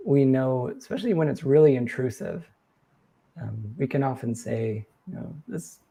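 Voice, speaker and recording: A man hosting a live-stream chat, his voice worn and fading as he loses it. His tone is dark and mellow, and he talks through questions in an earnest, coaching manner. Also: mid-range voice, vocal fry, breathy edge. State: losing his voice. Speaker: host reading viewer questions.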